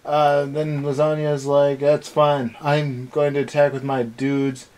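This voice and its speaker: A man's voice: fairly high pitch, flat delivery, slow pace, a little mellow, poor audio. A man co-hosting a casual anime review rant, talking in a rambling, sarcastic way. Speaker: co-host of an anime review show. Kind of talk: casual ranting review chat.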